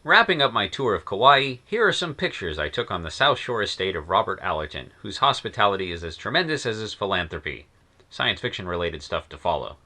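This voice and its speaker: A man's voice: low voice, sing-song, a little fast, bright, forceful tone. A man narrating a scripted review of old science fiction stories, wry and enthusiastic with sardonic asides. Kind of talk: scripted narration in a period persona.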